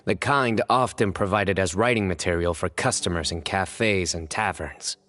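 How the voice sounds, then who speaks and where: An actor voicing a stern young male judge in a game, calm and deductive in a measured way. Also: low voice.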